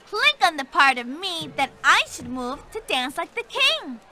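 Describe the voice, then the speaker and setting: A woman's voice: high-pitched, very animated, unhurried, some chatter behind, heavily acted. A woman voicing a cheerful young explorer girl who talks directly to the player in a children's game, with game music behind. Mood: encouraging. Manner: bright, encouraging, speaks to the player. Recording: game audio over clear music.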